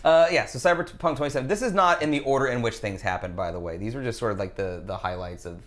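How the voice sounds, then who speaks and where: A man's voice: medium pitch, fast talker, a bit bright. A man hosting a gaming podcast in casual chat, rambling and joking with fillers and restarts. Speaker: podcast host.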